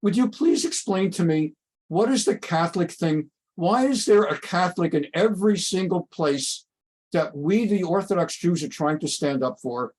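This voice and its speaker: Emphatic man with a slightly high voice. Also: animated, moderate pace, a little mellow, breathy edge. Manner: emphatic, rhetorical questions, acts out dialogues. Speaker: rabbi, interview guest. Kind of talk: opinionated interview answer.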